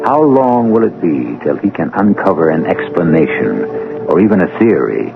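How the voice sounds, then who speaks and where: Deep, gravelly radio mystery narrator, a man. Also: very animated, phone-quality sound. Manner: grave, deliberate, theatrical. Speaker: host and narrator. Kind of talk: dramatic scripted radio narration. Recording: band-limited old radio broadcast, music behind. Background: music.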